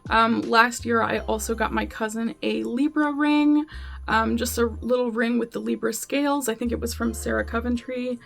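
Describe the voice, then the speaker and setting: A woman's voice: on the high side, fairly even intonation, normal speed, creaky. A young woman chatting casually in an upbeat vlog, full of ums and likes. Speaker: vlogger. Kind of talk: casual gift-guide vlog.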